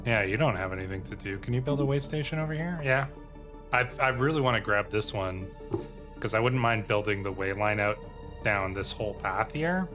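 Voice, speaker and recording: A man's voice: on the low side, expressive, brisk. A man giving casual let's-play commentary as a gaming host, thinking aloud in rambling questions and reading out game text. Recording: music playing behind the voice. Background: game music.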